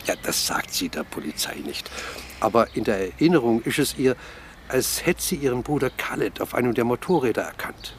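A man's voice: mid-range voice, expressive, fairly quick, a little hiss or hum, close to an everyday voice. Actor as worried, confiding man, faint ambience. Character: worried boyfriend, casual, confiding, somewhat evasive. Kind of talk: radio play dialogue.

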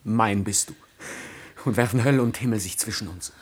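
Ardent young aristocrat, lowish pitch, husky grain, declamatory, defiant, classical radio drama. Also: male voice, moderate pace. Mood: fervent, troubled. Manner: declamatory, impassioned. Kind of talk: classical German stage tragedy acting, radio play.

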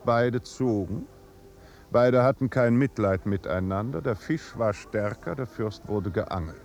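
An actor playing a dry, placid, world-weary duke in a 1950s German radio drama, measured, courtly and deadpan. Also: male voice, mid-range voice, gravelly.

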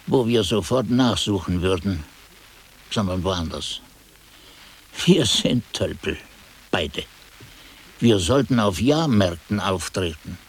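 An actor playing a monk chronicler, narrating his memories in a grave, measured way for a German radio drama. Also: fairly low pitch, breathy edge, exaggerated voice.

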